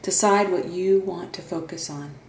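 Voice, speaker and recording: A woman guiding a meditation in a soothing, gentle instructional manner, leaving long pauses between phrases. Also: fairly low pitch, creaky. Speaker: meditation guide and therapist. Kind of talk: guided meditation narration. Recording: roomy echo, faint background noise.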